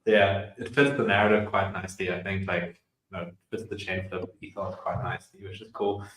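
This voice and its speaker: Man, South African accent, podcast host, casual, chatty, slightly low voice, roomy echo. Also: steady tone, fairly quick, a bit bright. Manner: laid-back, chatty, lots of fillers and "like".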